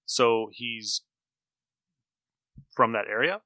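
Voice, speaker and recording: Very bright-voiced man chatting, dry sound. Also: mid-range voice, extremely slow, dry recording. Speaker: podcast host. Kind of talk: casual discussion.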